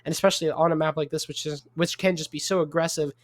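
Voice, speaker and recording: Man, esports co-caster, bright-ish higher voice, dry close sound, excitable rambling delivery. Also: dry recording. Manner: upbeat, rambling, quick to joke. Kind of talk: casual esports co-commentary.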